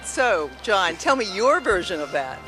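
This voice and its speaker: A woman with a bright, forceful voice hosts a chatty interview. She prompts her guests briskly and eagerly, with faint music behind her. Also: medium pitch, big pitch swings. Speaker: interview show host.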